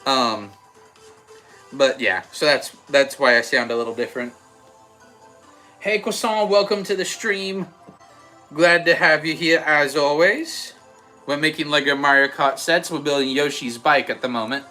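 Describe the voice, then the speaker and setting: A young man with an Australian accent softened by American, chatting casually and rambling a little as he hosts a livestream and answers comments. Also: a little high, a little slow, long pauses. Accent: Australian with some American. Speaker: livestream host. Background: background music.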